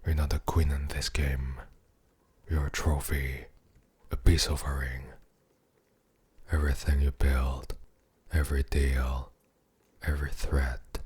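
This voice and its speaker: Man playing possessive mafia boss, ASMR roleplay, rock-bottom pitch, dark mellow tone, breathy husk, condescending seductive murmur, menacing. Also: full character voice. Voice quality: very deep, husky, breathy. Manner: slow-burning, condescending, intimate murmur.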